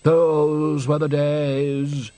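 A man reading aloud and voicing an older male opera director who speaks slowly and wistfully. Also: fairly high pitch, dry recording, voice pushed up. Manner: slow, wistful, nostalgic.

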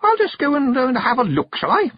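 Storybook witch, female, prim and inquisitive, high sugary voice, arch delivery a man acts her. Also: wildly swooping pitch, brisk, no echo, highs cut off, heavily acted. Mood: falsely sweet. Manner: sticky-sweet, arch. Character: prim, nosy adult female witch, unembarrassed.

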